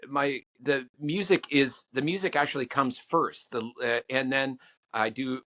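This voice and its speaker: Man, podcast guest, halting and restarting, bright tense tone. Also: fairly even intonation. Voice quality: low voice. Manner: halting, many restarts and fillers. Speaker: songwriter guest. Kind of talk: podcast interview answers.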